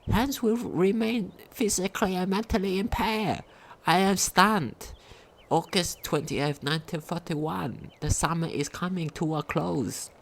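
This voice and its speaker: A woman's voice: fairly low pitch, measured pace, gravelly. A woman reading a game diary aloud as a video commentator, sometimes stumbling over her words.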